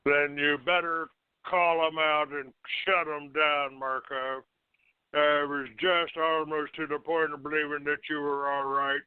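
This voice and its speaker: A man hosting a call-in show, ranting off the cuff in a halting, accusatory and combative manner. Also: on the high side, flat delivery, smooth, no room sound. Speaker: internet call-in show host. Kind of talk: unscripted talk show rant. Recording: narrow, tinny phone-like line.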